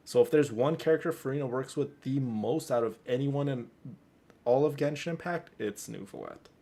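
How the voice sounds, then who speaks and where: A man's voice: medium pitch, moderate pace, gravelly, warm tone. A man doing casual, rambling and emphatic live commentary as he plays a game, easily amused. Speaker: game streamer.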